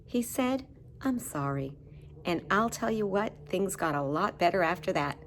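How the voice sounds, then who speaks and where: Slow, expressive woman reading to children. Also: lowish voice, slight background noise. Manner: addresses children directly, dramatic emphasis on key words. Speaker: children's story time host and reader. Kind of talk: expressive picture-book read-aloud for young children.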